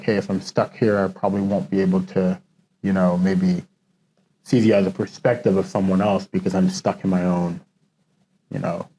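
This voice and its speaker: Guy with a low mellow voice, chatting as a guest, reflective, lots of "you know", faint noise behind. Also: low-quality recording. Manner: reflective, loose, many "you know" fillers. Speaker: interview guest, mentor. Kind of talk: casual conversational interview.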